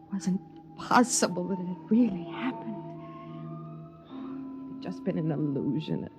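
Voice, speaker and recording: An American actress playing a timid, wistful young wife in a sci-fi radio drama, speaking softly, hesitantly and breathily. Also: female voice, fairly low pitch, expressive, a little slow. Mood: dreamy, secretive. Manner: soft, hesitant, dreamy, breathy. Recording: muffled vintage radio recording, music behind.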